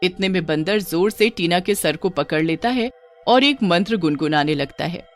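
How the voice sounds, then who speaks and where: A woman's voice: on the low side, moderate pace. A woman narrating a scripted children's horror story.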